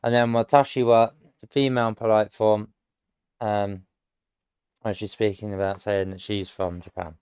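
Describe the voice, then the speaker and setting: A British man in his late thirties talks himself through a language course, explaining every answer piece by piece and stopping often to think. His voice is smooth and sits mid-range. The recording is dry and slightly dull. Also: lowish voice, little pitch movement, normal speed, a little mellow, no room sound. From United Kingdom. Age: late 30s. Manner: explains each answer step by step, musing, with frequent hesitations. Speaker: self-taught learner streaming. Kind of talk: thinking aloud while working through lessons.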